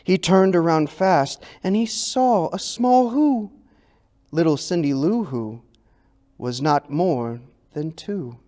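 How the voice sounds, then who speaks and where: A man reading a children's storybook aloud in an expressive, theatrical narrating style. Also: a little high, takes it slowly. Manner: theatrical, dramatic. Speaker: storybook reader.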